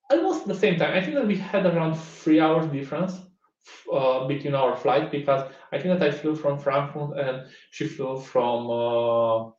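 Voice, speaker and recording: Male conference speaker, chatty storyteller, many restarts; recorded far from a dull mic in an echoey room. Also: a little high. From Romania. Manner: conversational, lively, many restarts and fillers. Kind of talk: online tech talk with anecdote. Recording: roomy, distant, dull sound.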